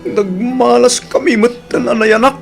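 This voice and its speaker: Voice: medium pitch, fairly even intonation, a little slow, creaky. An actor playing a bitter, despairing sick young boy in a radio drama, with a resentful, plaintive delivery. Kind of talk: tearful radio drama acting. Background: music.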